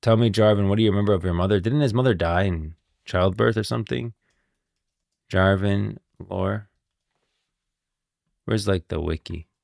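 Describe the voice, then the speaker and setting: A man's voice: fairly low pitch, moderate pace, gravelly, long pauses, a little mellow, dry recording, clean studio sound. A man giving casual live stream commentary on game lore, musing and thinking aloud.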